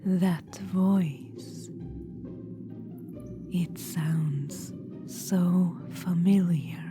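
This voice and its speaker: A scheming undead witch-queen, performed by a woman, who speaks only in a soft, unhurried whisper. Her voice sits a little low and she confides slyly, as if letting you in on secrets. Music plays quietly underneath. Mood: amused. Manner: confiding, fond, slyly amused. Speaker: ASMR roleplay performer. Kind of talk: whispered ASMR audio roleplay. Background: background music.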